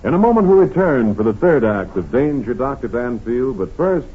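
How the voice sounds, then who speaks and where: Male announcer, old-time radio continuity, slightly rough lively voice, thin vintage sound. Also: medium pitch, moderate pace. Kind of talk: scripted 1940s radio announcing. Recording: tinny, muffled vintage radio recording.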